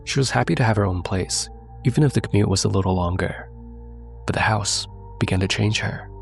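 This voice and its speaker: A man with a low, gravelly voice tells a scary story as host of his own show, his nose stuffed up from a cold. He speaks in a measured, suspenseful way and talks straight to his listeners, with soft music underneath. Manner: measured, suspenseful delivery, addressing viewers directly. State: congested from a cold. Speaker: narrator and host. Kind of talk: scripted horror storytelling.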